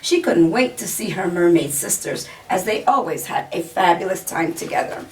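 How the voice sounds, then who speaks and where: A woman reading a children's story aloud in a deliberate storytelling manner, pausing to point out pictures. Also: fairly low pitch, lively intonation. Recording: distant mic, faint background noise.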